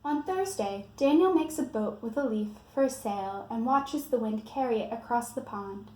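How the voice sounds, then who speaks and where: A woman with a mellow, fairly high voice presents a children's book in a scripted read-aloud style. The room adds noticeable echo, with faint noise underneath. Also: animated, normal speed.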